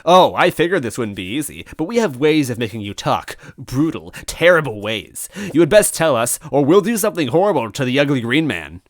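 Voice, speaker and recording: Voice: mid-range voice, sing-song, a little fast, few pauses, dry recording, studio quality, voice pushed up, natural voice. A performer voicing a smug, theatrical villain from a storybook, gloating and menacing as he boasts. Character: smug, theatrical villain lieutenant disguised as a toad. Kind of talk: acted storybook villain dialogue.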